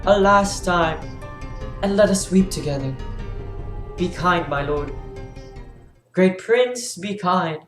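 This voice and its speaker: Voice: mid-range voice, slow pace, long pauses, close to an everyday voice. An actor playing a blinded, grieving fallen king who pleads solemnly in a classical tragedy reading. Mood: sorrowful pleading. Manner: mournful, pleading, solemn declamation. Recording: roomy echo, faint music behind.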